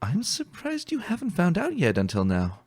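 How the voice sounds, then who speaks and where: A man puts on a teasing young mare scout for a storybook reading. She sounds breezy, fond and playfully chiding, pitched up and lively, over music. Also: moderate pace, husky, heavily acted.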